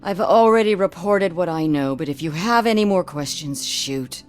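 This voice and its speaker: Low-voiced, worried, frank woman played by actress. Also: normal speed, soft background music, lightly acted. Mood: weary, concerned. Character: worried, frank wife of missing policeman, a mother. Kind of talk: scripted video game acting.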